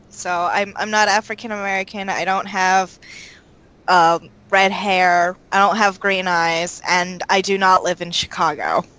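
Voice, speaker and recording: A woman's voice: mid-range voice, fairly bright tone, clean tone, rough recording. A woman chatting casually as an interviewed voice actor, playful and bubbly. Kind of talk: casual chat.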